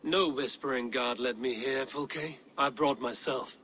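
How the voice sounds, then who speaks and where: An actor playing a sceptical, impatient Viking warrior in a video game, speaking in a blunt, challenging way. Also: male voice, mid-range voice, unhurried, sharp tone, a little hiss or hum. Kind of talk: dramatic video game acting.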